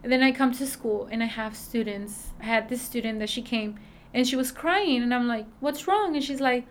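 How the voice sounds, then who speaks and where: A woman with a Mexican accent who works at a school, answering interview questions in a halting way, with many fillers and restarts. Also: fairly high pitch, slight background noise. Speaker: school staff member.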